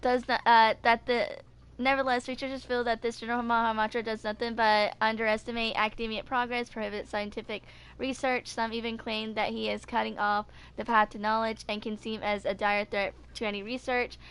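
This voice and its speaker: A woman's voice: on the high side, level tone, fairly quick, a bit bright, smooth. A woman in her twenties casually reading game character lore aloud, stumbling and correcting herself as a video host. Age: 20s.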